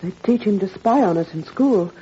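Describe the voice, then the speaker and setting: A woman's voice: medium pitch, lively intonation, normal speed, warm tone, slight background noise, natural voice. A woman playing an anxious, supportive wife and mother, speaking earnestly in a 1940s radio drama. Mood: pleading, earnest. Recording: muffled old radio recording.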